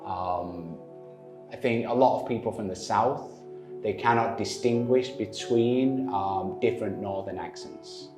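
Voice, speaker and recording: Low-voiced Manchester man, roomy echo. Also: music in the background. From northern England, Manchester area. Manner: measured, frequent "um" pauses. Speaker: interviewee. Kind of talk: explaining in an interview.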